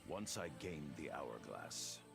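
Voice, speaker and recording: An actor playing a mournful, honourable ninja with a gravelly voice, narrating a video game cutscene. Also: male voice, extremely low pitch, big pitch swings, takes it slowly, exaggerated voice. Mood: solemn resolve. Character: solemn, honourable male ninja clansman, mournful, determined. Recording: very loud music behind. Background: music.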